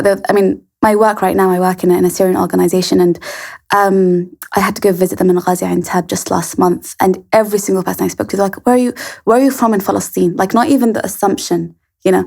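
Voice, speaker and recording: A woman's voice: mid-range voice, vocal fry, a little mellow. A young adult woman hosting a casual interview, chatty and telling stories, with frequent fillers.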